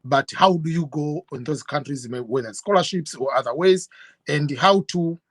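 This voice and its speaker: A middle-aged man from Tanzania, a guest telling his story in an interview in an earnest, measured way. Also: a little high, a bit bright, no room sound, clean studio sound. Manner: earnest, measured, with fillers and restarts. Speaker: guest YouTuber.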